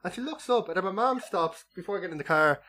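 Man, young adult, Irish accent, podcast co-host, loud, animated, repeats words, fast talker, slightly high voice. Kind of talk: casual chat.

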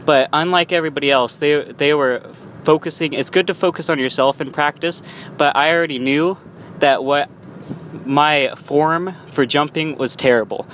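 A man's voice: a little high, moderate pace, fairly bright tone. Young man, casual vlog from behind the wheel, rambling, light traffic hum. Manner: rambling with frequent restarts and small laughs. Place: in a car while driving. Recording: faint road noise.

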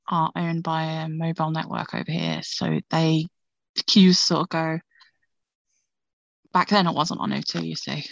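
A woman's voice: sits low, creaky, no echo. A woman in her thirties, co-hosting a podcast in a chatty, laughing casual talk full of "like". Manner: chatty, says "like" a lot, laughs often. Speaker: podcast co-host. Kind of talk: casual chat. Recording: dull lo-fi audio, dry.

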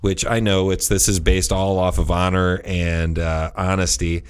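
Man, thirty, very low voice, meandering casual talk. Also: little pitch movement, unhurried, clear, resonant tone. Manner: meandering, full of restarts. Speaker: podcast host. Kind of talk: casual chat.

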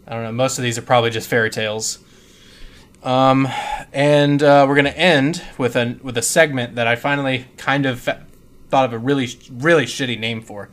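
A man co-hosting a podcast in casual chat, informal and self-deprecating with some mild swearing. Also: medium pitch. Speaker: podcast co-host.

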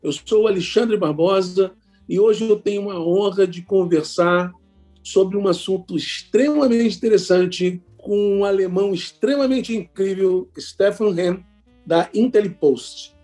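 A Brazilian-accented man hosting an interview, effusive and eager. His voice is high for a man but soft and mellow in tone. Faint music runs behind him. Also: measured pace. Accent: Brazilian Portuguese. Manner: enthusiastic, effusive praise. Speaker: podcast host. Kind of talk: conversational interview.

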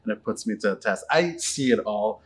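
A man's voice: on the low side, lively intonation, fairly quick. A man in his thirties with a Canadian accent, speaking casually and agreeably as an enthusiastic interview guest. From Toronto, Canada. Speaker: interview guest, auto detailing business owner.

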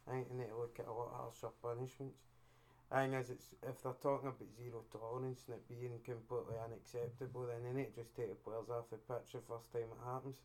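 Man, Scottish accent, co-host, flat even voice, matter-of-fact. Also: medium pitch, quick pace. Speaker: podcast co-host. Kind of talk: casual chat.

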